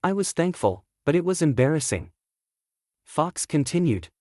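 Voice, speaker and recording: A man's voice: a little high, sing-song, normal speed, a little mellow, dry recording, clean studio sound. A man reading scripted first-person story narration as the author of a fantasy audiobook.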